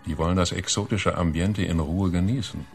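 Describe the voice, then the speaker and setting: An actor playing a stern, menacing chief inspector in a radio play, speaking in an officious hushed whisper. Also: male voice, deep voice, fairly even intonation, brisk, gravelly, faint music behind. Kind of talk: radio drama acting.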